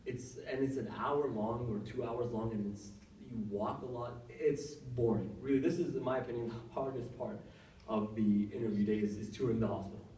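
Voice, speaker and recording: A man's voice: medium pitch, moderate pace, dark tone, a little hiss or hum. A young man from Saudi Arabia, a doctor giving casual advice to students, talking conversationally with many fillers and restarts. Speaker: workshop speaker, doctor. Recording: echoey room, mic at a distance.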